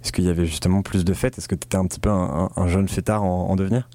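A man's voice: low-pitched, fairly even intonation, fast, mellow, dry recording. A man hosting a casual conversational interview, asking questions in an informal, bantering way.

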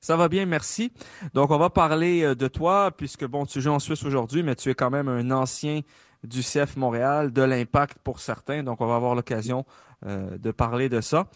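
A man's voice: mid-range voice, normal speed, no echo, clean studio sound. A man who hosts a radio interview, asking questions in an informal way with frequent fillers.

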